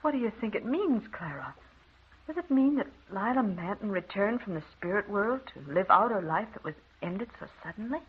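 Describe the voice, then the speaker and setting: Wide-eyed gossip woman, raspy and wondering, folksy, old radio drama, thin phone-like recording. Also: mid-range voice, expressive, normal speed. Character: credulous, aghast gossiping woman.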